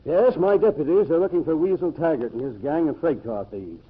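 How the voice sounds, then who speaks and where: A self-important male county sheriff, speaks stiffly and precisely in a slightly high voice. It has the thin, phone-like sound of 1940s radio drama. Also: animated, brisk, slightly rough. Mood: businesslike. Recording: thin, band-limited old radio sound.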